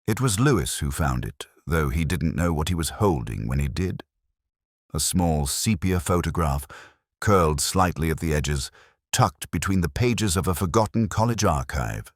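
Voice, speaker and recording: A man playing a world-weary older detective inspector, narrating a mystery audio drama in a measured, literary, brooding manner. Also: a bass voice, animated, no echo, studio quality.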